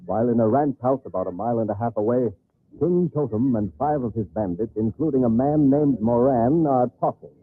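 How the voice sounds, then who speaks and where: A man's voice: mid-range voice, brisk, breathy edge, natural voice. A man narrating a scripted old-time radio western as its announcer. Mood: dramatic narration. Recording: tinny band-limited old radio recording.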